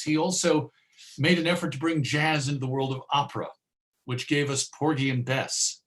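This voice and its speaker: Male American presenter for a history talk, conversational and chatty with a wry streak, mid-pitched voice. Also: fairly bright tone. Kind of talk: conversational history lecture with clips.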